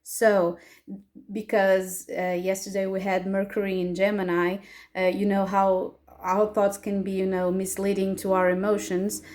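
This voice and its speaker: A Portuguese-accented woman, a native Portuguese speaker, leads a tarot reading in English. She talks slowly and on a fairly flat pitch, pausing often on fillers and restarting her sentences. Her voice sits at a middle pitch for a woman. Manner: hesitant, many fillers and restarts. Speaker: tarot reader and astrologer. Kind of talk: casual pick-a-card tarot reading.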